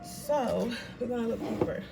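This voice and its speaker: A woman in her twenties with a raspy voice, chatting casually and rambling informally as she gives a tarot reading. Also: fairly high pitch, normal speed, over loud music. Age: 20s. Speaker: tarot reader. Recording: noisy room, voice a bit far from mic.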